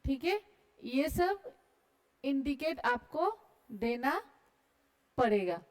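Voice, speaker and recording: A woman's voice: sits high, animated, very slow. An Indian woman teaching an online class, dictating notes in mixed Hindi and English and often checking that students follow.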